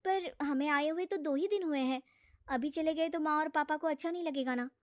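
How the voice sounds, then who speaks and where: Narrator as high-voiced young wife. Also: female voice, fairly quick, no echo, studio quality, natural voice. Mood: coaxing. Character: young married woman, protective sister, gently coaxing wife. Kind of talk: animated family story character acting.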